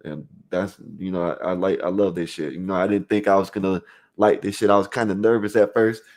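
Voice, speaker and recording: A man's voice: sits low, steady tone, brisk, a little mellow. A man raised in Florida, hosting a live call-in stream, reading the chat aloud and rambling in loose slang, full of "you know what I mean.". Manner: rambling with restarts, talking straight to viewers.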